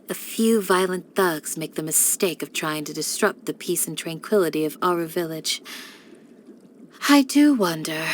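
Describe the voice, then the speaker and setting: A woman voicing a calm, devoted young desert village guardian in a video game, speaking in a composed, measured, gracious way with formal phrasing. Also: lowish voice, slight background noise, lightly acted. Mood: politely menacing. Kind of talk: scripted video game character voice lines.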